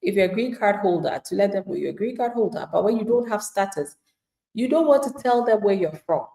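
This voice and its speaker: A woman's voice: medium pitch, animated, a little fast, vocal fry, warm tone, echoey room. A woman immigration attorney giving live legal advice to viewers in an emphatic, plain-spoken way, acting out the replies of people she describes.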